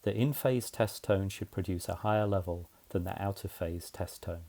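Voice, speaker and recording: A man's voice: sits low, normal speed, dark tone. A man narrating a scripted explainer in a methodical, plain-spoken way, as a tutorial presenter.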